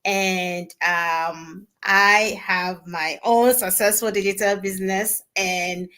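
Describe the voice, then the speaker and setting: A woman's voice: mid-range voice, fairly bright tone. Woman from ghana hosting a panel, chatty and easygoing. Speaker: host. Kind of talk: casual panel discussion.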